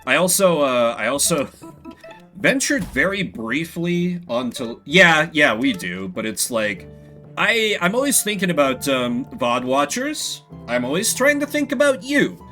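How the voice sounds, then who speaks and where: A man's voice: fairly high pitch, big pitch swings, a little slow, bright, forceful tone, soft background music. A man talking casually as a game streamer, rambling and often interrupting himself. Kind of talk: casual livestream chat and commentary.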